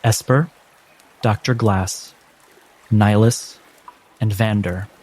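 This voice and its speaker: A man acting as game master, narrating a tabletop game with dramatic, deliberate phrasing. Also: on the low side, fairly even intonation, darkish tone, a little hiss or hum. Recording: music behind the voice. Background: background music.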